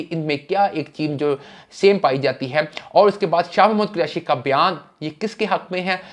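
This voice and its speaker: A man giving spoken news commentary, formal, addressing viewers directly, with frequent restarts and fillers. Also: a little high.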